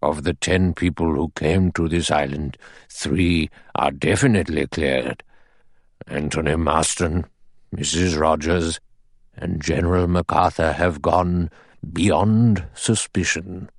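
Super deep slow old judge, precise and in charge, read by a man. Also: steady tone, dry recording, studio quality, exaggerated voice. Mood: grave, commanding. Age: older. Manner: measured, precise, quietly authoritative. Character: elderly male judge, cool and commanding.

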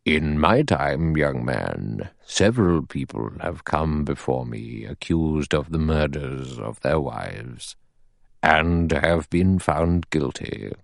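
A man voicing an elderly judge in an audiobook, cold and measured, with a thin, sour, deliberate delivery. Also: a bass voice, animated, no echo, pitched below the performer's own voice, full character voice. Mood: stern, measured. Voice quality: small, thin, clear voice. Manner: slow, deliberate, dry and sour. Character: elderly male judge, cold, measured, acid-tongued authority.

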